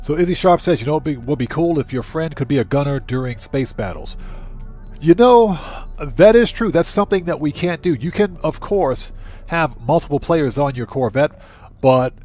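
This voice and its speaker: A man's voice: a little high, moderate pace, a little mellow, faint music behind. A man hosting a livestream, chattily thinking aloud as he gives casual video game commentary and talks to viewers. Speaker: livestream host.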